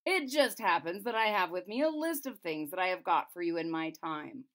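A woman's voice: fairly low pitch, expressive, moderate pace, a bit bright. A woman voicing a pompous, self-important court chamberlain in a storybook, reading off a long list. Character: pompous fat old male court chamberlain.

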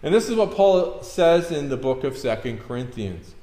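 Canadian pastor preaching in an echoey hall. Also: male voice, mid-range voice, sing-song, moderate pace, mellow. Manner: conversational, asks the congregation questions. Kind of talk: sermon. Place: church hall with a projector screen. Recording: roomy hall echo.

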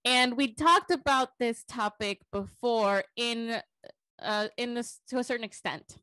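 A woman's voice: fairly high pitch, unhurried, pushed and bright, no echo. A young woman co-hosting a podcast in casual, informal, chatty talk. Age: young adult. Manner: informal, chatty, says y'all. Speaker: podcast co-host. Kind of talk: casual chat. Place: small bedroom at home, video call.

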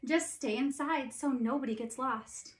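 A woman's voice: sits high, unhurried. A woman voicing a cheerful, affectionate little male snowman from a storybook, eager and bubbly, close to her own reading voice.

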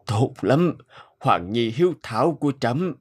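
A man's voice: mid-range voice, sing-song, slightly rough. Weary old ailing king played by a man. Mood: weary, tender. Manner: slow, labored, halting. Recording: loud background music.

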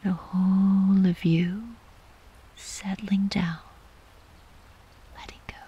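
A woman guiding a sleep meditation in a soft, breathy, whispery voice, gently and soothingly, with long unhurried pauses. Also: medium pitch, little pitch movement, lo-fi sound. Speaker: yoga nidra meditation guide.

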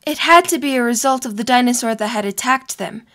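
A woman's voice: on the high side, brisk, pushed and bright. A young woman reading scripted story narration for an audio drama.

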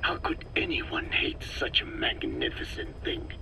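An actor playing a proud, picky old sage in a video game, with a whispery rasp and solemn, formal phrasing. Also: male voice, a little slow, sharp tone, poor audio. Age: older. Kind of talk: scripted video game drama.

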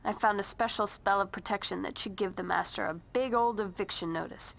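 A woman's voice: mid-range voice, fairly quick, vocal fry, a bit bright, low-quality recording. An actress playing a perky, earnest, nerdy teenage girl in a video game, chirpy and a little awkward. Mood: proud, excited.

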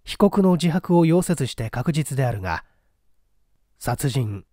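Male mystery narrator: mellow, animated pitch movement, deliberate theatrical literary delivery. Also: no echo, clean studio sound. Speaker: story reader.

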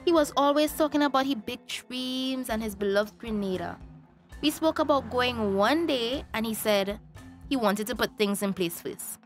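A woman's voice: fairly high pitch, moderate pace, soft background music, close to an everyday voice. An actress with a Tobagonian accent playing a defiant, exasperated young woman in a radio drama. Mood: indignant. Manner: sassy, indignant.

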